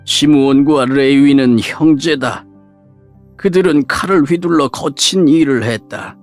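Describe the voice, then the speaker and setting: A man playing an aged, dying patriarch who speaks solemnly and deliberately to his sons in a dramatized scripture audiobook. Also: on the high side, faint music behind, lightly acted. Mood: stern, solemn.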